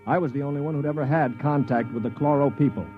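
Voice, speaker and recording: An American actor playing a war-scarred man recalling his fellow captives, narrating a 1950s radio drama in a measured, descriptive way. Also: mid-range voice, close to an everyday voice. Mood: sober, reflective. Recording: muffled 1950s radio recording, loud music behind.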